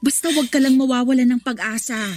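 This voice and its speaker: A woman's voice: high-pitched, fast, a little mellow, no echo, soft background music, close to an everyday voice. An actress in a radio drama playing a supportive female best friend who reassures and encourages. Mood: encouraging. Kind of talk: Tagalog radio drama acting.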